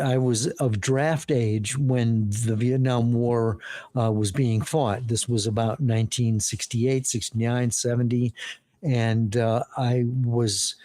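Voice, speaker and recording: An older man speaks with a dark, mellow tone in an easygoing conversation. He is self-deprecating and often restarts his sentences with small hesitations. The sound is dry, with no room echo. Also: mid-range voice. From Wisconsin, USA. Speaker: guest, retired journalist and writing coach. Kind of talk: relaxed interview conversation.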